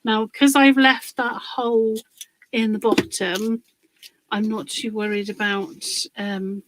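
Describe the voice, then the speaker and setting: A woman, slightly hoarse from a cold, chatting casually on a livestream while she works on a craft project, pausing with fillers. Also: medium pitch, little pitch movement, background noise. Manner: chatty, rambling, pauses with fillers while working.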